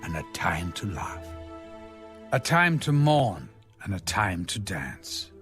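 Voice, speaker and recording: Man, lowish voice, solemn audio Bible narration, highly varied intonation, measured formal delivery, music behind. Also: big pitch swings, fairly bright tone. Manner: measured, formal, rising and falling cadence. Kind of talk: solemn dramatic scripture reading.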